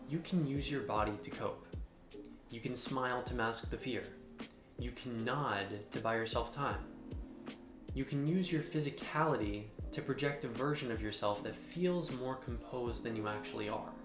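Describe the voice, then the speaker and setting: A man giving a scripted explainer in a measured, instructional manner, speaking directly to the listener. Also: medium pitch, reverberant. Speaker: host of a mental health explainer channel. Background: background music.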